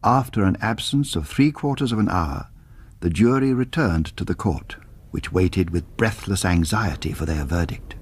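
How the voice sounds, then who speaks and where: An actor playing a solemn book narrator, reading dramatically in a radio drama. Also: male voice, deep voice, animated, moderate pace, husky. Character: solemn male narrator of a Victorian trial account.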